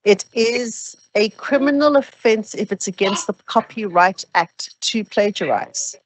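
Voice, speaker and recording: A woman explaining academic procedures to new students at an orientation, steady and practical with some fillers. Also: medium pitch, unhurried, poor audio. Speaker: orientation presenter.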